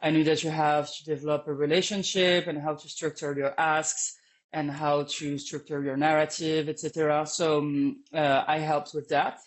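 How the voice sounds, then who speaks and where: A woman who grew up between Lebanon and France speaks as a guest on an interview podcast. She thinks aloud, pausing on fillers and restarting her sentences as she goes. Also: sits low, little pitch movement. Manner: thoughtful, hesitant, many fillers and restarts. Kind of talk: conversational interview.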